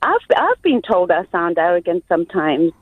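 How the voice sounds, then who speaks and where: A woman's voice: lowish voice, very animated, normal speed, breathy edge. A woman from Africa, calling in to a radio show and arguing her point earnestly and articulately. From South Africa. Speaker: caller. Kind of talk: phone-in call. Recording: tinny phone line.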